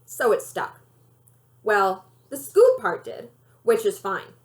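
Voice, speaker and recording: A woman reading a children's novel aloud in an expressive storytelling style. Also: mid-range voice, takes it slowly. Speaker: library read-aloud reader.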